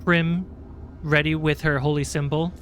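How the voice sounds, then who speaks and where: A man acting as game master, improvising narration for a tabletop game in short, halting phrases. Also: a little high, level tone, a bit bright, a little hiss or hum. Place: indoor livestream.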